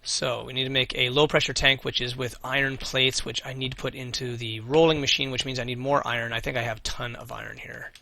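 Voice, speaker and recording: Bright-voiced man losing his voice. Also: medium pitch, little pitch movement, a little fast, gravelly, few pauses. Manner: thinks aloud, mutters, swears casually. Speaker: let's play host. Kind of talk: casual gameplay commentary.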